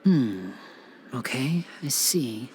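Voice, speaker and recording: A woman's voice: a bass voice, expressive, extremely slow, darkish tone, slight background noise, heavily acted. A woman voicing a poised young magician in a video game, a composed and affectionate older sibling with polite phrasing.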